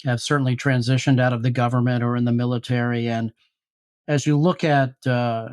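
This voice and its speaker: A man's voice: medium pitch, little pitch movement, a little fast, darkish tone, smooth. A man hosting a podcast interview, reading a polished introduction and asking questions. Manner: polished, scripted-sounding introductions. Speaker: podcast host.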